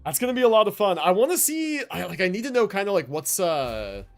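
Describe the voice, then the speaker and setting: Animated man livestreaming over faint game music. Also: sits high, fast talker. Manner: laid-back slangy enthusiasm, swears casually. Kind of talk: casual livestream commentary. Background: video game music and character voices.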